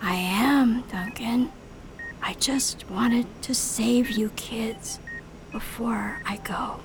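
A woman playing an older, dying half-robot dean in a radio drama, weary and breathy, speaking in halting whispers. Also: fairly high pitch, fairly even intonation. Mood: dying, sorrowful. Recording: clear background noise.

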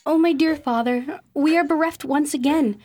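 An actress playing a meek, anxious young Victorian daughter, pleading in formal old-fashioned speech in a radio drama. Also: female voice, sits high, normal speed, darkish tone, faint music behind, lightly acted. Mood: distressed. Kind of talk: theatrical radio drama acting.

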